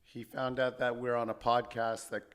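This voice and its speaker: Quiet man with a worn-out voice. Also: mid-range voice, level tone, normal speed, clear, resonant tone. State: losing his voice. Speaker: podcast co-host. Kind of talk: casual chat.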